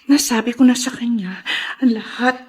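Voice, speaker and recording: An actress playing a gravely ill, repentant and gentle mother in a melodrama, speaking haltingly and tearfully. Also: fairly high pitch. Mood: weak, repentant. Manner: halting, emotional delivery. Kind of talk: Filipino melodrama acting.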